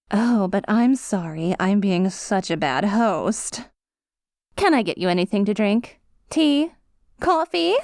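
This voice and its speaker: A woman's voice: medium pitch, dry recording, studio quality. A woman playing a needy, taunting female supervillain obsessed with a hero, in a theatrical, coy ASMR roleplay monologue. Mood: mock-polite. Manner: theatrical, coy, swooping between pouty and menacing.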